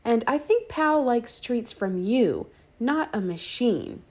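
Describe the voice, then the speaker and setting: A woman's voice: a little high, animated, takes it slowly, darkish tone, voice pushed up. A woman voicing a sensible, firm mother with a reasoning manner in a children's storybook.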